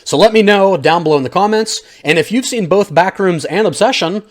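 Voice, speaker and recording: A man's voice: high-pitched, lively intonation, a little fast. A man giving an off-the-cuff, opinionated video review, chatting informally and directly to his viewers. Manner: conversational, opinionated, informal slang, addresses audience as guys. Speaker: film reviewer, channel host. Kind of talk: casual unscripted video review, talking to viewers.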